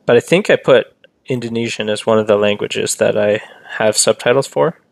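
Gravelly-voiced man chatting on stream. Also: fairly low pitch, moderate pace, no echo. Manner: chatty, reads and answers chat, rambling asides. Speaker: game streamer. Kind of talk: casual live-stream commentary.

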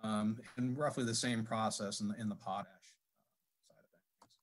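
A man giving a technical presentation at a conference, speaking haltingly with many fillers and restarts. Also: lowish voice, fairly even intonation. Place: conference session room.

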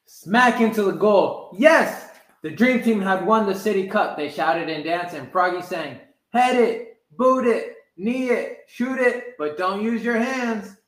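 A man in his twenties reading a children's story aloud, measured, calling out the characters' exclamations. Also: high voice, expressive. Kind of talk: animated read-aloud storytime. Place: indoor room with blinds, sitting. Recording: roomy echo.

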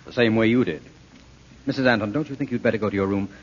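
An actor playing a calm, wry, courteous detective in a radio drama, measured and quietly firm. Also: male voice, fairly low pitch, slightly rough. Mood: dry, controlled. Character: calm, dry, quietly insistent detective, gently protective. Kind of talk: 1940s radio drama acting. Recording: dull vintage radio recording.

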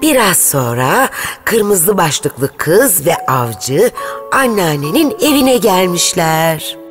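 A woman storyteller reading a scripted children's fairy tale in an expressive, measured way. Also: lowish voice, over music.

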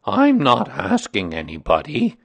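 Man as a slow, gloomy storybook donkey. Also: mid-range voice, wildly swooping pitch, gravelly, no echo, full character voice. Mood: sullen, resigned. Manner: slow, aggrieved, plodding. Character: gloomy, grumbling, put-upon male donkey. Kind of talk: children's storybook character reading.

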